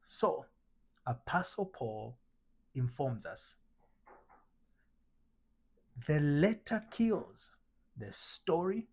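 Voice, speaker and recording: A middle-aged man from Tanzania giving a spiritual teaching talk, slow and emphatic, asking rhetorical questions. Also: on the high side, very animated, darkish tone, husky. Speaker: identity transformation coach.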